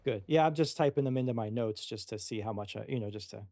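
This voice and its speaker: A man's voice: mid-range voice, fast talker, warm tone. A man taking part as a player in a tabletop game, casually asking questions and giving short acknowledgements. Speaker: player creating a game character.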